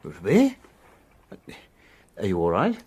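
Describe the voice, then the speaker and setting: Male, fussy loyal period gentleman for radio drama, formal delivery, slightly husky, very animated intonation. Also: fairly low pitch, normal speed, heavily acted. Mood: tender concern. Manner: formal, proper, spluttering phrasing. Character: fussy, loyal gentleman, easily flustered and indignant. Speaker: narrator and character.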